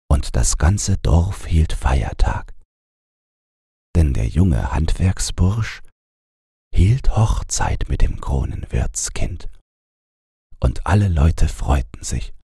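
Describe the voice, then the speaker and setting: A man narrating a bedtime fairy tale as an audiobook reader, in a calm, measured storytelling manner. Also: very deep voice, vocal fry, slightly rough, no echo, clean studio sound.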